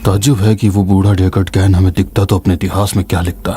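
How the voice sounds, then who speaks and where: An actor playing a wise, weary old scholar in a fantasy game dub, husky, solemn and reflective. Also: male voice, sits low, flat delivery, fast, mellow, a little hiss or hum, natural voice. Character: wise, weary old male scholar mentoring a younger ally. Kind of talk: dramatic fantasy game narration.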